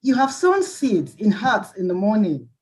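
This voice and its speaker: A woman's voice: medium pitch, big pitch swings, unhurried, a little mellow, slightly rough. A woman joining an online poetry reading by audio, speaking politely and briefly before reading her poem. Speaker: guest poetry reader. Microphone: online call audio, no video.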